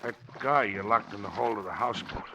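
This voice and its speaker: An actor playing a nervous, reluctant small-time crook with slangy, fretful talk in a 1940s radio drama. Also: male voice, on the low side, fairly quick, lightly acted. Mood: anxious protest. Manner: slangy, ungrammatical, fretful protesting. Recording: noisy old radio recording.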